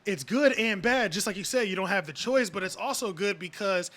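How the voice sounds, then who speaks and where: Young male guest with a high, bright voice; eager agreement, lively casual chat. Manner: agreeable, quick back-channel affirmations, lively. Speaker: podcast guest.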